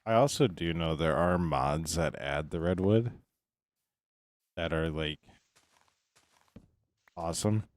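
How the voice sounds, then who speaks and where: A man with a deep, low voice chatting casually as a game streamer, musing and repeating himself as he thinks aloud. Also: slow pace, long pauses. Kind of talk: casual chat while playing.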